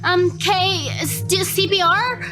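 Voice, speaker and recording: A woman's voice: very high-pitched, slow pace, bright, forceful tone, soft background music, pitched above the performer's own voice, exaggerated voice. A woman playing a panicked, flustered young camp counselor in a tabletop horror game. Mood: panicked, tearful.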